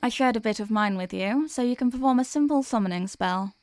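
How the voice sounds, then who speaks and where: A woman playing a gentle, protective sleep paralysis demon in an ASMR comfort roleplay, speaking in a soothing, tender, reassuring way. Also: on the high side, brisk, no room sound, natural voice.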